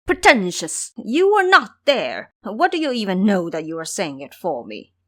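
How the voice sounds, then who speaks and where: A woman's voice: medium pitch, very animated, moderate pace, a bit bright. A woman voicing a foolish, cowardly male pig disciple in an audio drama, blustering and whining through lies. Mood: indignant.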